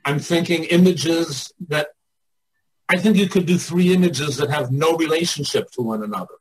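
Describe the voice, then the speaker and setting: A man's voice: a little high, moderate pace, slightly rough, low-quality recording. An older man giving informal one-on-one coaching in a blunt, emphatic way, thinking aloud as he goes. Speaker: artists' coach and mentor.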